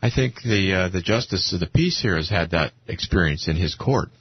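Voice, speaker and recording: A man's voice: sits low, lively intonation, normal speed, breathy edge, dry recording. A man talking informally as a guest in a radio discussion, telling things in an anecdotal way. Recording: dull, dry lo-fi radio sound.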